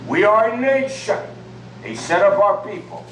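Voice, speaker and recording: A man's voice: high-pitched, big pitch swings, normal speed, a bit bright. A man preaching a sermon in short, emphatic phrases. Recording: echoey room from far off with faint noise.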